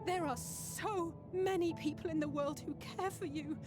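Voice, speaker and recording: A woman's voice: extremely high pitch, moderate pace. A woman playing a fervent, strong-willed young heroine in a fantasy game, earnest and emotive. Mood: tearful, pleading. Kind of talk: dramatic fantasy game acting. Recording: game music behind.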